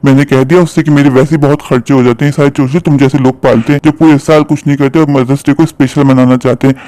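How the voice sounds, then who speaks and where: Man as a fast-talking, callous grown son. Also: mid-range voice, steady tone, warm tone, no echo, natural voice. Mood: grumbling. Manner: scolding, impatient. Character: callous, irritable grown son resenting his mother.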